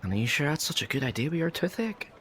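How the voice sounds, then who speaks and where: A man's voice: fairly high pitch, big pitch swings, fast talker, fairly bright tone, slight background noise. An actor playing a chatty, cheeky young Victorian working man and father in a historical audio drama, bantering jovially. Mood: jovial, wry.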